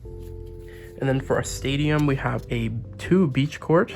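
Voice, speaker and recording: Sick, gravelly-voiced man with a sore throat. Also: medium pitch, slow pace. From Canada. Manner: chatty, gushing over cute packaging. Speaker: trading card game YouTuber. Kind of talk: casual unboxing and deck review. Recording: music playing underneath.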